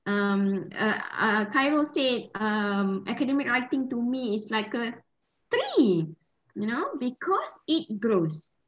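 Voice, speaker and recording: A woman's voice: fairly high pitch, big pitch swings, slow pace. A woman in her thirties with a Malaysian accent, teaching an online class informally and often checking in with listeners over a headset on a video call. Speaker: university lecturer leading an online training. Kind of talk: interactive online lecture. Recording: dull, lo-fi video-call audio.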